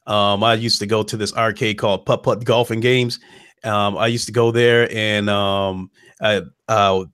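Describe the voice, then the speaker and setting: Low-voiced man hosting, dry sound. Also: smooth, no room sound. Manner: relaxed, hesitant, many "um"s. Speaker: host. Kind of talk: casual interview.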